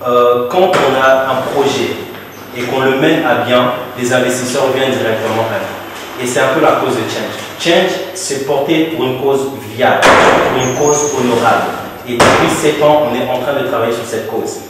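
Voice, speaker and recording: A man's voice: medium pitch, normal speed. An entrepreneur man speaking about his work with earnest conviction. He is far from the mic in a long-reverb space. Noise sits behind him. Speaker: entrepreneur guest. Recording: echoey, distant, noisy.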